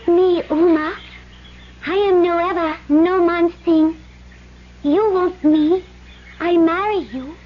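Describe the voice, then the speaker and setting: An actress playing a proud, gentle young Polynesian island woman who speaks halting broken English in a radio drama. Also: very high voice. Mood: proud, direct. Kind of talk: old radio drama acting. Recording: muffled old radio, noisy background.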